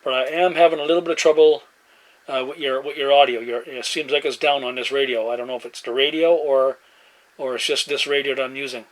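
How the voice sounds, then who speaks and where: A man chats casually in a halting, laid-back way, using CB radio jargon like a hobbyist at his desk. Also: medium pitch, fairly even intonation. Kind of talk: casual radio chat and demo narration. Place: indoor desk beside CB radio sets. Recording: noisy room with radio hiss. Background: radio static and room noise.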